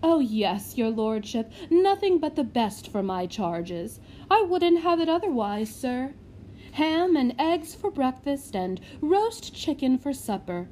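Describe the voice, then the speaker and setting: A woman voicing a fawning, gushing matron who flatters a visiting gentleman. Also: sits high, slow pace, a little hiss or hum, pitched above the performer's own voice, full character voice. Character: fawning, gushing middle-aged woman running a house for children.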